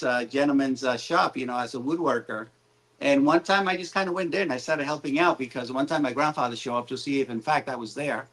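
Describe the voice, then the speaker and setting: A man's voice: mid-range voice, fairly bright tone, poor audio. A man joining a casual live stream as a guest, telling stories in a chatty, meandering way with fillers. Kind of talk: casual storytelling chat.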